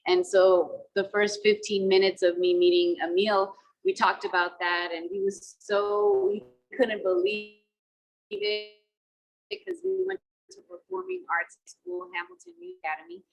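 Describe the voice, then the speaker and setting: A woman's voice: medium pitch, lively intonation, unhurried, creaky, highs cut off. A woman in her thirties, from the Dominican Republic, talking casually over a video call about her acting work, mixing Spanish and English with many fillers. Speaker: actress interviewed about a film.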